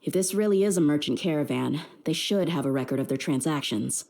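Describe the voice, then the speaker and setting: A woman's voice: low voice, brisk, vocal fry, darkish tone. A woman voicing a tough, confident young female mercenary with a blunt, streetwise manner in a video game. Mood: calm, businesslike. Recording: music behind the voice. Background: game music.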